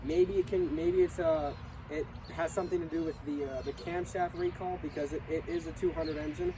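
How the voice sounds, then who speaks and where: A man's voice: fairly high pitch, steady tone, fairly quick, noisy background. A young man with a congested voice from illness or allergies, casually reviewing his lawn mower in a hesitant, rambling way full of fillers and restarts. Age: young adult.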